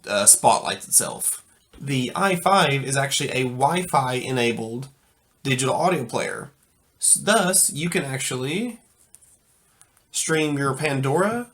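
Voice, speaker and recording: A man's voice: a little high, a bit bright. A man giving an informal video product review in an enthusiastic, emphatic and folksy conversational way. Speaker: audio gear reviewer.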